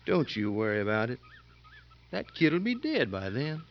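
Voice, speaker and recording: An actor with an American accent playing a hard, coolly menacing convict ringleader in a 1950s radio drama. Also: male voice, mid-range voice, huge pitch swings, moderate pace, lo-fi sound. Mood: cold, menacing. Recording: band-limited 1950s radio recording, faint noise.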